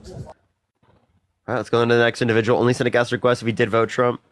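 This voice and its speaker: A man's voice: medium pitch, level tone, fast, a little hiss or hum. A man hosting a live stream, talking casually and thanking viewers over and over between debates with callers. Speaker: livestream host.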